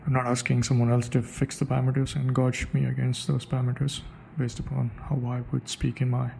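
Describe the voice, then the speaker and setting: A man's voice: mid-range voice, completely flat delivery, fairly quick, creaky, dark tone, a little hiss or hum, low-quality recording. A man with an Indian English accent, thinking aloud in a reflective solo monologue, restarting his sentences often. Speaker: podcaster.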